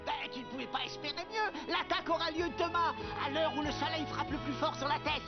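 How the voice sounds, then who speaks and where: A woman voicing a brisk, helpful jungle animal messenger in a French-dubbed cartoon. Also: high voice. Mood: eager reporting. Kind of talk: French cartoon dub acting. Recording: muffled cartoon soundtrack with music.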